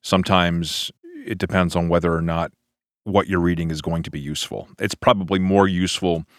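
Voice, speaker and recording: A man's voice: low voice, level tone, a little fast, no echo, clean studio sound. An American man, a pastor hosting a podcast, asking questions and commenting thoughtfully in a conversational way. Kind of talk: conversational interview.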